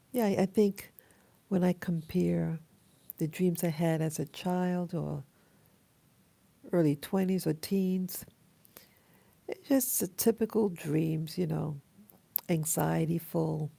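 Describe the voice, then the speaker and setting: A woman in her late fifties with an American accent, speaking haltingly and reflectively in a casual personal vlog, her voice hoarse from having just woken up. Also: fairly low pitch, warm tone, no echo. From Queens, New York City, USA. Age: 50s, almost sixty. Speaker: vlogger. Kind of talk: casual personal dream journal.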